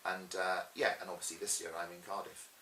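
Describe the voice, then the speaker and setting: A middle-aged man with a Welsh accent, chatting casually as an interviewed stage performer and telling stories in a lively, chatty way. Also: sits low, brisk. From Swansea, Wales. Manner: chatty, animated retelling with acted-out quotes. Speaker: pantomime dame and cabaret performer being interviewed. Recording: roomy echo with faint hiss.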